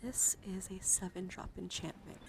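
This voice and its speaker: A soft-spoken woman live streamer doing a casual ASMR card show-and-tell, chatting and often dropping into a whisper. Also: fairly low pitch, moderate pace, creaky.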